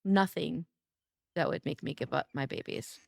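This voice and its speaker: A woman livestreaming a game, chatting playfully with her viewers, her voice a bit hoarse from days of streaming. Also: low voice, moderate pace, dry recording, studio quality. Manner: playful, chatty, greeting chat by name. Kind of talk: casual game-stream chat.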